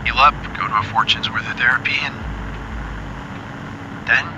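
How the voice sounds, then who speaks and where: A man's voice: mid-range voice, steady tone, moderate pace. An actor playing a wounded, medicated, needy man in an audio drama, blunt and breathily pleading over a call. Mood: vulnerable, pleading. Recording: muffled, noisy call with engine drone.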